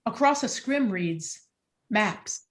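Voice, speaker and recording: A woman reading stage directions as scripted narration in a measured, descriptive way. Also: on the high side, animated.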